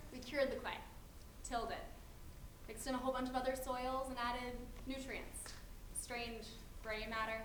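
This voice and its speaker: A woman's voice: a little high, a bit bright. A young woman performer delivering a vivid storytelling monologue on stage to a live audience. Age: young adult. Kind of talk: theatrical monologue. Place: theater space with a live audience. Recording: echoey theater with faint noise.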